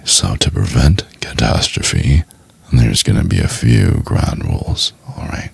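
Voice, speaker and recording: A man playing a doting, teasing boyfriend in an intimate ASMR roleplay, murmuring in a husky, halting half-whisper. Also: extremely low pitch, no echo, exaggerated voice. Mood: teasing, firm. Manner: slow, halting, murmured delivery with pauses. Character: doting, teasing boyfriend trying to study for exam.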